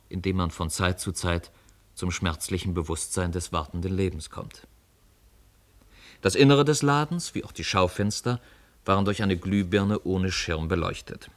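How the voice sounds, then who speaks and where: A man narrating a literary radio play as a scripted storyteller, measured and articulate. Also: sits low, fairly bright tone.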